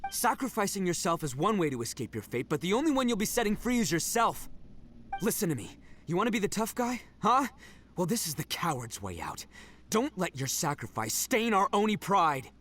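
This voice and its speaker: A cocky loudmouth oni fighter in a game, high lively voice, faint music behind. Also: male voice, a little fast, slight background noise. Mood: earnest. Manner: loud, swaggering, blunt. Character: brash, cocky young male oni brawler. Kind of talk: game cutscene voice acting.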